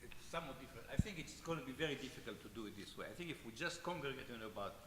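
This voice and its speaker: Guy from the audience, quick, blunt suggestion, roomy echo and hiss. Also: medium pitch, lively intonation. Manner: direct, proposes alternatives. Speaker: audience participant. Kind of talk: conference discussion. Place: large conference room.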